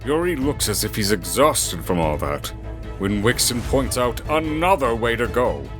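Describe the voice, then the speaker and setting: A man's voice: mid-range voice, very animated, normal speed. A man narrating an audio drama with dramatic scripted storytelling delivery. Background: music.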